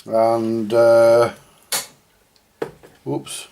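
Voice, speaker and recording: Slow-talking man, thinking aloud. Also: medium pitch, monotone, warm tone. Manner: rambling, thinking aloud while working. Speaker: host of a radio repair video. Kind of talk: casual hands-on repair commentary to viewers. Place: at a home workbench. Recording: clear background noise with clicks.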